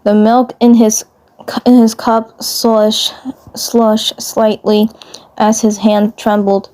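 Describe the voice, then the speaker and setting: A woman with a fairly high voice narrates a written story at an unhurried, very slow pace. Her reading is halting, and she often stumbles over a word and says it again. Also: flat delivery, mellow. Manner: halting, stumbles and rereads words. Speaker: fan fiction narrator. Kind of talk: narrating a scripted story aloud.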